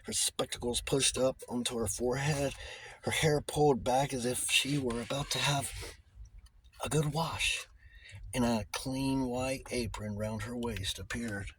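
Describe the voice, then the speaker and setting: A man's voice: medium pitch, steady tone, measured pace, fairly bright tone, slight background noise, poor audio. A man reading scripted literary narration as an audiobook narrator.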